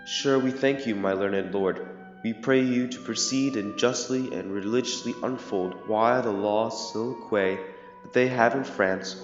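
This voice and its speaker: A man acting a solemn young king in an amateur Shakespeare audio drama, speaking verse in a formal, measured, grave way. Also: fairly low pitch, natural voice. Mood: regal, composed. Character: solemn young king of England in a history play. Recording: echoey hall, muffled, music behind.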